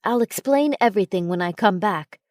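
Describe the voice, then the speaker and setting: Woman voicing a tender young male werewolf, lively intonation. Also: medium pitch, fairly quick, no echo, clean studio sound, close to an everyday voice. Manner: tender, reassuring. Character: gentle, devoted young male alpha werewolf lover.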